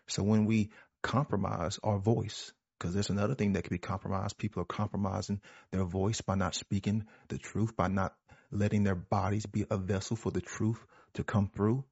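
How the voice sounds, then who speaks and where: Husky low-voiced man, earnest and preachy. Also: level tone, normal speed, darkish tone. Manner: earnest, preachy, repeats phrases for emphasis. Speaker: panel speaker. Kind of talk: reflective spiritual talk. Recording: roomy, dull lo-fi audio.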